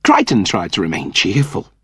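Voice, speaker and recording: Male audiobook narrator for comic fiction: expressive, swooping delivery, slightly rough voice, very dry recording. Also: low voice, normal speed, no room sound. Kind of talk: scripted comic novel narration.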